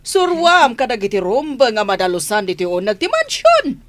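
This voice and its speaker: Imperious madam, high pitch, lively intonation, Ilocano accent, nagging, radio comedy drama. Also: female voice, moderate pace. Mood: bossy, scolding. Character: bossy scolding mansion madam, a mother.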